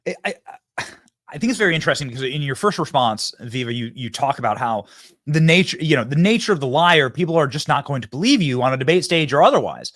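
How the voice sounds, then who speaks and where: A man hosting a political talk show, interviewing a guest in a rapid, teasing manner. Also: a little high, very animated, fairly bright tone, dry recording, clean studio sound. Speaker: show host.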